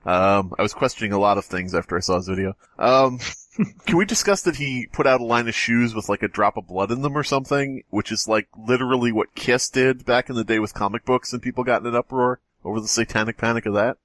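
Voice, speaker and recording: A man's voice: mid-range voice, fast talker, a bit bright, no room sound. A man co-hosting a comedy podcast in casual, profane banter, given to tangents. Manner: profane, jokey, prone to tangents. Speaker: podcast co-host.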